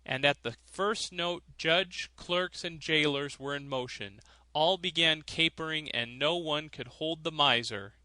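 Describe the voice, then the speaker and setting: Bright, forceful male storybook narrator. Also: a little high, unhurried, dry recording. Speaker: audiobook narrator. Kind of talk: storybook narration of a fairy tale.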